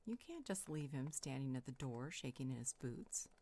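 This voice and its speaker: Very low-voiced female vampire healer, acted by a woman. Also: very animated, normal speed, warm tone, full character voice. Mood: playful, curious. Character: female vampire healer, curious, gently teasing campfire companion. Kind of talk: conversational audio roleplay acting.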